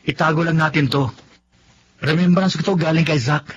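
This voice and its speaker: A man's voice: fairly high pitch, normal speed, breathy edge. A man playing a good-humoured schoolteacher husband in a Tagalog radio drama, jovial and bantering, with a Filipino accent. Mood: easygoing. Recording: dull lo-fi audio with noise.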